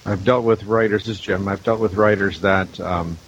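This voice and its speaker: Deep guy chatting on a panel, kinda hesitant, muffled lo-fi sound. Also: steady tone, normal speed. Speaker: audio producer and sound designer. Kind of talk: casual panel discussion. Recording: muffled, slightly noisy line.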